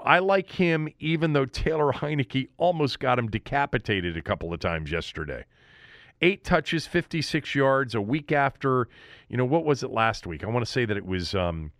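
A man's voice: fairly low pitch, fairly bright tone, no room sound, studio quality. A man hosting a sports show, giving an emphatic, opinionated game recap in a conversational way.

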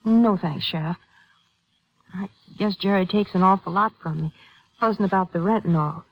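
A woman's voice: medium pitch, normal speed, highs cut off, natural voice. A woman playing a worried, worn-out young wife and mother, hesitant and apologetic, in a 1950s radio drama. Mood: anxious, downcast. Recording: old radio recording.

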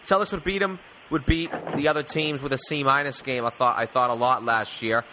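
A man's voice: medium pitch, steady tone, a little fast. A man hosting a sports podcast, talking through games in a conversational way, with faint noise behind him.

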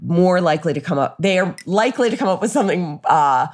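Low-voiced, lively middle-aged American woman. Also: fast talker. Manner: animated, often quotes others in anecdotes. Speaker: podcast co-host. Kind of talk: casual conversational chat, anecdotes.

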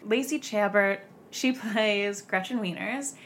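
A woman's voice: mid-range voice, slow, a little hiss or hum. A young woman hosting a podcast, chatting casually and gossiping, with lots of "like" fillers. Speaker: podcast host.

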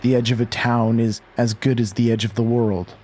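A man's voice: medium pitch, flat delivery, moderate pace, a little mellow, a little hiss or hum. A man narrating a scripted horror story in a folksy, ominous storyteller's manner. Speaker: narrator of horror audio drama.

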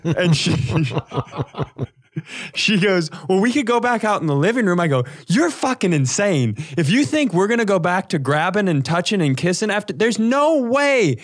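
A man co-hosting a comedy podcast, telling animated, casual stories and acting out the dialogue. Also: high-pitched, normal speed.

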